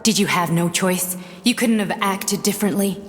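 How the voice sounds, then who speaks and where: A woman playing a stern, bitter, coolly clinical neurosurgeon in a video game, speaking gravely and pointedly. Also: mid-range voice, moderate pace, long reverb tail, soft background music, close to an everyday voice. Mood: bitter, accusing.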